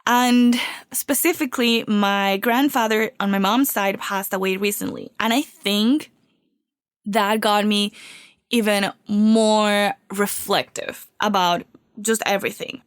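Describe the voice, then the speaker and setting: A woman's voice: mid-range voice, fairly even intonation, takes it slowly, smooth, no room sound, studio quality. A woman from Venezuela, hosting a solo podcast in an upbeat, confiding way while getting over a cold. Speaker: podcast host.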